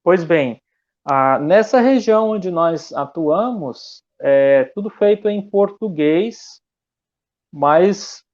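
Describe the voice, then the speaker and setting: Halting missionary man, muffled audio. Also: sits high, expressive, a little mellow. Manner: halting, many restarts and fillers. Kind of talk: conversational church interview.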